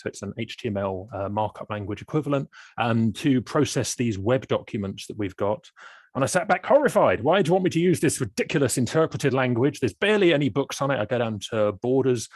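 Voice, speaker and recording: Middle-aged man, guest data scientist, animated storyteller, dry close mic. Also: no echo. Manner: lively, acts out quoted thoughts with big pitch jumps. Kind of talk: animated storytelling in a webinar chat.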